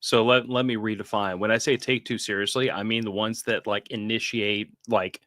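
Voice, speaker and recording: A man with a gravelly voice and a drawl, hosting a casual podcast chat. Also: on the low side, steady tone, moderate pace, fairly bright tone, dry recording, clean studio sound. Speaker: podcast host.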